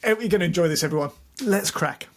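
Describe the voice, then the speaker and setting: A man co-hosting a podcast with a hoarse voice from a cold, teasing and joking in casual banter. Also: sits high, quick pace. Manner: teasing, jokey. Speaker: podcast co-host.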